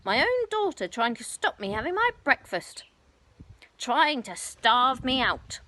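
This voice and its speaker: A woman voicing an enormous, bad-tempered old grandmother who shouts and scolds. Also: high voice, wildly swooping pitch, a little slow, voice pushed up, heavily acted. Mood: shouting. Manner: shouting, scolding, self-pitying.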